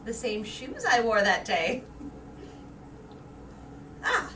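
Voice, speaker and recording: A woman's voice: a little high, wildly swooping pitch, slow pace, full character voice. A woman playing a vain, anxious middle-aged wife in a staged reading, with a theatrical, emotional delivery. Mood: girlish excitement. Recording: distant roomy stage recording with background noise.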